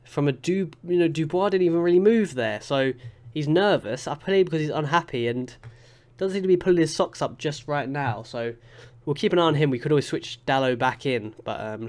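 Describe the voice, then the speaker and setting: Young male gamer, stuffed-up nose from a cold, chatty excitable play-by-play, mid-pitched. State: congested with a cold. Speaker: gaming YouTuber. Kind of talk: live game commentary.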